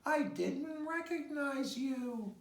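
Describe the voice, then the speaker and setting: A doting little girl from a children's storybook, acted out by a man. The voice is pitched very high and gushes with affection. It sounds in an echoey room. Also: animated, slow pace, full character voice. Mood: overjoyed. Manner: gushing, affectionate. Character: adoring, doting little girl cooing to her cat. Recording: roomy, echoing sound.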